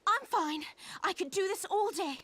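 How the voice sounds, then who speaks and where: A woman's voice: very high voice, lively intonation, moderate pace, fairly bright tone, no room sound. An actress playing a brash, cheerful young female brawler in a video game, with a blunt, informal, cocky way of talking. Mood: defiant.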